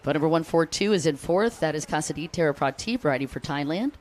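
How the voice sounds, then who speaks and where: A woman's voice: low-pitched, expressive, fast. American woman commentating a jet ski race. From United States. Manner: chatty, shouts out to fans between race updates. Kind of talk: live sports commentary.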